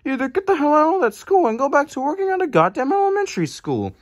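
A man voicing a crude, insulting woman who yells at an unwanted guest, in an improvised roleplay. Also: very high voice, big pitch swings, fast, dry recording, studio quality, pitched above the performer's own voice. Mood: mocking.

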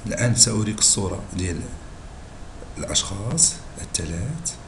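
A male math teacher with a Moroccan Arabic accent, hoarse and husky from a cold, explaining a lesson slowly and patiently and checking in with his students. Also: on the low side, lively intonation, background noise. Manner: slow and patient, checking in with listeners. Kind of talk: screen-recorded math lesson.

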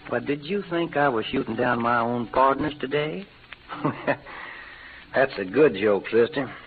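A man acting a rough-talking cowboy outlaw in a 1940s radio western, with a folksy American Western drawl. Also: mid-range voice, measured pace, close to an everyday voice. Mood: boastful, hard. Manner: folksy, slangy cowboy talk. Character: rough-talking cowboy playing the bad outlaw for love's sake. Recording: old noisy radio recording, phone-like band.

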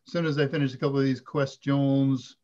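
An older man hosting a live Q&A stream over a video call from home, chatty and relaxed, reading viewer questions aloud. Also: a little fast, muffled recording. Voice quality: fairly high voice. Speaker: host. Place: home room with curtains. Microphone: video call webcam.

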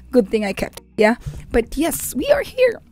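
Hoarse young woman, playful and chatty. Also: sits high, wildly swooping pitch, a little slow, a little mellow. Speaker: reaction video host. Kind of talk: casual chat to camera. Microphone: handheld microphone.

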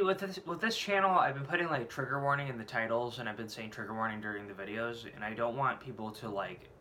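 A man's voice: fairly low pitch, pushed and bright. A man in his late twenties with a head cold and a scratchy throat, talking candidly and rambling in a casual vlog, swearing freely, recorded at home on a desk camera. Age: late 20s. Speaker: vlogger. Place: small room at home, indoors.

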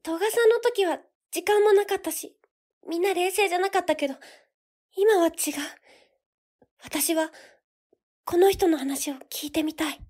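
Anxious, earnest young female, played by a woman, extremely high voice, pleading audio drama delivery. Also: moderate pace, long pauses, a little mellow. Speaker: voice actor. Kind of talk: anime-style voice drama acting.

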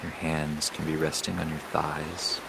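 A man leading a guided meditation in a slow, soothing, measured way with long pauses. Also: extremely low pitch, flat delivery, vocal fry. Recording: loud ambient water noise throughout. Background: flowing water and ocean ambience.

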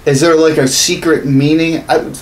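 A man's voice: a little high, steady tone, moderate pace. A man in his thirties co-hosting a casual podcast, sarcastic and profane as he rants about games and movies. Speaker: podcast co-host. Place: small home studio room. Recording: noisy room ambience.

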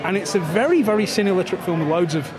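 An older man hosts a festival interview, rambling and restarting his sentences in a fairly high voice. A busy crowd chatters all around him as he speaks into a handheld mic. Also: lively intonation, rapid-fire. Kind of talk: casual interview. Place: festival media wall, indoors, busy.

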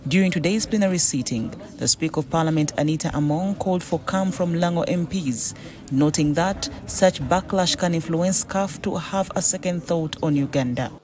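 A news reporter delivering a formal, even voice-over for a TV news report. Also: male voice, on the high side, normal speed.

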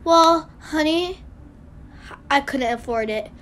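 A woman voicing a gentle, worried mother with a coaxing manner in an amateur game roleplay drama. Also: sits high, slow pace.

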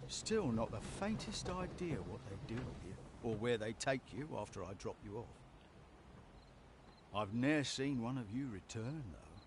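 A grumbling fantasy-game cart driver, mercenary and sardonic. His delivery is slow but swings widely in pitch, with old-fashioned turns of phrase. Clear ambient rumble runs behind him. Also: sing-song. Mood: sardonic, callous. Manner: muttering, archaic phrasing, sardonic. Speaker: non-player character in a fantasy video game. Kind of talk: fantasy video game acting.

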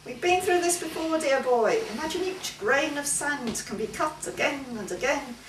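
A woman's voice: sits high, animated, normal speed, voice pushed up. A woman voicing a genial, teasing male philosopher from antiquity in a story reading, breezy and patronising. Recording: roomy, distant mic, faint hiss.

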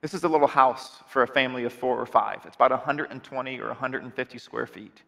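A man in his forties delivers an earnest sermon from a church stage. His voice sits at a middle pitch, and he often repeats or restarts a phrase as he makes his point. The sound carries the long reverb of a large hall. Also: steady tone, quick pace, vocal fry, sharp tone. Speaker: pastor. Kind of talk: sermon appeal to a congregation. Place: dark church stage with screens.

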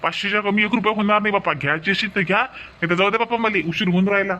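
A man's voice: sits high, quick pace, bright, forceful tone. A man voicing a stubborn, haggling street peddler in a cartoon, with a Varhadi Marathi accent. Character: pushy middle-aged male street peddler. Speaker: umbrella seller.

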